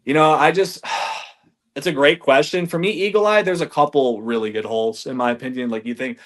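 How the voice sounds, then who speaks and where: A man in his thirties from Michigan, a golf reviewer chatting as a podcast guest, energetic and loose, swearing casually and saying "man" and "like" a lot. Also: medium pitch, fairly quick. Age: 30s. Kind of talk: casual chat.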